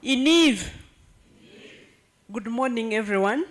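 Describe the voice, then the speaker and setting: A woman's voice: fairly high pitch, expressive, slow pace. A woman introducing herself at a meeting in a polite, welcoming way. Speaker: branch staff.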